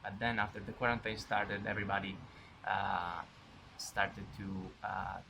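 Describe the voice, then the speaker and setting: Gravelly, flat young man. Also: fairly low pitch, normal speed, background noise. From Italy. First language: Italian. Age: 20s.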